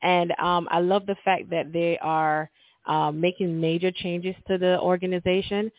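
A woman's voice: fairly low pitch, flat delivery, unhurried, no room sound. Jamaican-accented woman, middle school principal being interviewed, earnest and upbeat, fillers and restarts, thin phone-like call sound, dry. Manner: enthusiastic, earnest, polite with frequent fillers. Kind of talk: conversational interview. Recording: tinny call audio, dry.

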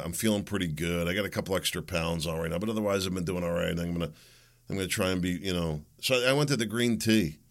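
A middle-aged man hosting a solo political commentary show, impassioned and rising to loud rhetorical questions, then drifting into rambling asides, with a slightly raspy voice. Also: deep voice. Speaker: host.

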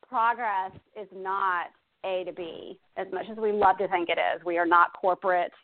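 A woman's voice: mid-range voice, steady tone, normal speed. A woman in her thirties talking conversationally as a guest giving self-care advice, explaining chattily with examples. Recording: dull phone-like audio.

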